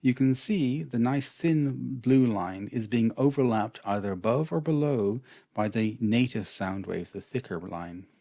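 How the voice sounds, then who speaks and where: Mellow Irish man teaching online. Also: mid-range voice, a little slow, gravelly, dry recording, clean studio sound. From Ireland. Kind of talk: explanatory lesson over slides.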